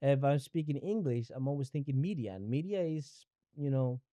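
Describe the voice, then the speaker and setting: A man's voice: medium pitch, expressive, normal speed, mellow, dry recording. A young man from Ecuador whose first language is Spanish, talking as a podcast guest in a reflective, searching way, often restarting and repeating words. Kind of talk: reflective conversational interview.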